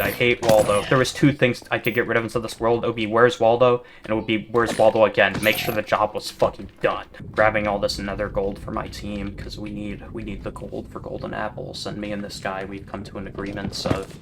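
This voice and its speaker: Rambling monotone young-sounding gamer guy. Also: on the low side. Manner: rambling, self-described yapper with many fillers and restarts. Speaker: gaming video creator. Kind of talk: rambling commentary over gameplay. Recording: steady background noise.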